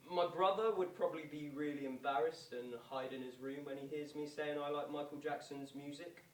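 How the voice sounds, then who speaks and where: A man's voice: a little high, steady tone, a little fast, close to an everyday voice. A man playing a shy, starstruck young music fan in a stage monologue. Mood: shyly starstruck. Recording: distant, echoey, noisy stage recording.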